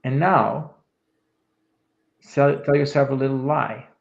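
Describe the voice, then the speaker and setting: A man in his fifties co-hosting a podcast, calmly talking listeners through a reflective exercise. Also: mid-range voice, takes it slowly, creaky. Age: 50s. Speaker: podcast co-host, leadership coach. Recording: echoey room, dull lo-fi sound.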